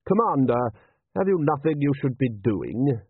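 A man voicing a calm, wily city ruler in an audiobook, measured and urbane, with drily polite understatement. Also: mid-range voice, breathy edge, dry recording, voice pushed up. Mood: smooth, courteous. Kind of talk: audiobook character voice. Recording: narrow-band, phone-like sound.

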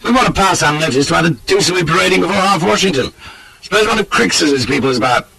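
Audiobook role: flippant cad, acted by a man, leering and mocking, bright forceful delivery. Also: sits high, lively intonation, fast, creaky, breathy edge, voice pushed up, heavily acted, poor audio. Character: leering roguish scoundrel, cocky and flippant.

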